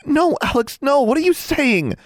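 An anxious young girl, acted out by a male narrator speaks in a high, swooping voice. She sounds urgent and incredulous, a storybook friend questioning in alarm. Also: huge pitch swings, brisk, no room sound, studio quality, full character voice. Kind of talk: audiobook character voice.

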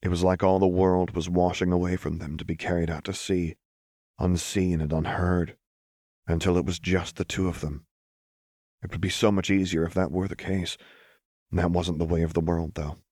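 A male audiobook narrator with a deep, husky voice. He reads fiction softly and gravely, with open emotion. The recording is close and dry, free of room sound. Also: level tone, normal speed, no echo.

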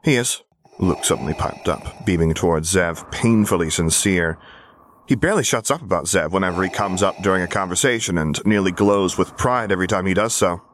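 A man with a low-pitched voice narrates a story in audiobook style. The recording carries a noticeable layer of background noise. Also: a little fast, a bit bright. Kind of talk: scripted fiction narration. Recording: audible background hiss, clicks.

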